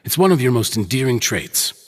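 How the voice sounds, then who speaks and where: A man plays a middle-aged merchant villain in a dramatic game cutscene. He is measured and condescending, feigning fatherly hurt in a lively, swooping delivery, with faint music underneath. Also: mid-range voice, lightly acted. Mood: patronizing. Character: smooth-talking older businessman villain, patronizing, feigned fatherly hurt.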